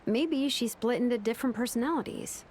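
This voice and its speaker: A woman's voice: on the high side, a little fast, darkish tone, slight background noise, close to an everyday voice. An actress voicing a cautious, thoughtful young woman who speaks earnestly and measuredly, sometimes hesitating. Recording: game soundtrack music behind.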